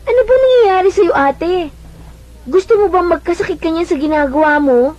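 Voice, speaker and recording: An actress playing a worried, nagging younger sister in a Filipino radio drama. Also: female voice, extremely high pitch, moderate pace, darkish tone. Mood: alarmed. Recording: dull lo-fi radio drama recording.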